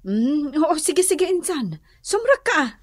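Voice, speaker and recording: A woman's voice: high-pitched, sing-song, unhurried. A woman with an Ilocano accent playing a homely, hospitable cousin in a radio drama, conversational and given to exclaiming. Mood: surprised, welcoming.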